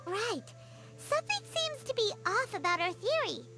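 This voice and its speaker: A woman voicing a tiny, excitable floating girl companion who talks about herself in the third person. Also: very high voice, normal speed, heavily acted. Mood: thoughtful. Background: game music.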